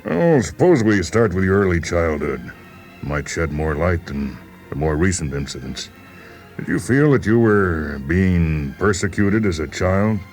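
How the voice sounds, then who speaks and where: A man's voice: low voice, sing-song, a little slow, a little mellow. A man voicing an affable, patronizing doctor who hesitantly coaxes a patient in a radio story reading. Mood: calm, probing. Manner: hesitant, patronizing bedside chumminess. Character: affable male doctor coaxing a stubborn psychiatric patient. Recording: voice over background music.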